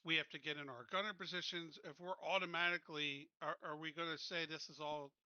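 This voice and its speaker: A man's voice: on the high side, fairly even intonation, a little fast, pushed and bright, clean tone, dry recording, highs cut off. A man talking casually as a player in a tabletop roleplaying game.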